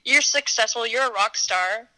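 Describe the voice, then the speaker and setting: Woman around twenty, bright slightly high voice, casual interview guest, tentative and playful. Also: moderate pace, poor audio. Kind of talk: casual chat.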